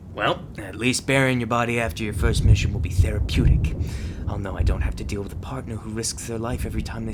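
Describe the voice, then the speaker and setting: A man acting a low-voiced, gruff monster hunter. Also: noisy background, natural voice. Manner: foul-mouthed, sardonic, scornful. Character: gruff, sarcastic, guarded male monster hunter mentor. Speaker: voice actor in an audio roleplay. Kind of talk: ASMR roleplay acting.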